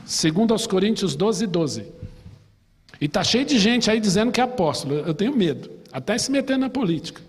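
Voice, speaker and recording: A man's voice: sits high, big pitch swings, brisk, breathy edge. A man with a Brazilian Portuguese accent, a pastor teaching a Sunday school class in a chatty way, putting questions to the class and telling personal stories. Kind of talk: conversational Bible class teaching. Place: church hall. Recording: long reverb in a church hall.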